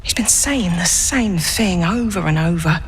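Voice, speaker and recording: A subdued healer in a fantasy game. Her low voice is quiet and caring, with faint noise behind it. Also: expressive, unhurried, low-quality recording. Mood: concerned.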